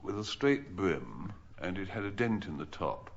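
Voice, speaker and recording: A man's voice: sits low, animated, moderate pace. A British man answering as a panellist on a radio quiz, with casual banter. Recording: noisy, dull old radio recording.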